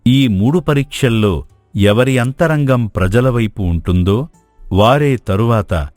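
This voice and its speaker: A man playing a wise, courteous royal guru in a storybook drama, speaking in a measured, formal, philosophical way. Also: medium pitch, lively intonation, a little mellow, dry recording, faint music behind. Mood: solemn, advising.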